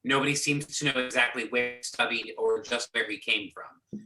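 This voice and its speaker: Man reading as sharp, bright-voiced agency boss. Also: medium pitch, steady tone, normal speed. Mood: matter-of-fact. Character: businesslike male agency boss briefing his agents. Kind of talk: amateur readers theater script reading. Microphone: video or conference call. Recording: echoey room over video conference.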